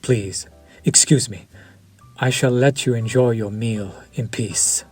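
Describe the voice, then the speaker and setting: Vampire chef, played by a man: unhurried, husky, formal and seductive, over faint music. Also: mid-range voice, a little mellow. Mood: suave. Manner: polished, formal and flirtatious, lingering over words. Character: suave vampire chef, courteous, quietly predatory. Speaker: voice actor in audio roleplay. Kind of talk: scripted audio roleplay.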